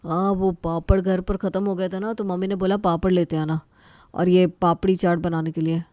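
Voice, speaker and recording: A woman's voice: on the low side, little pitch movement, normal speed, dark tone, no echo, close to an everyday voice. A woman playing an easygoing young husband, a dutiful son who explains things matter-of-factly.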